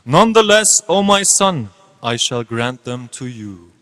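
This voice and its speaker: A man's voice: medium pitch, sing-song, measured pace, fairly bright tone. A man playing a solemn, fatherly creator god who grants boons in a devotional dramatic reading. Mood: solemn granting. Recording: hall with long reverb.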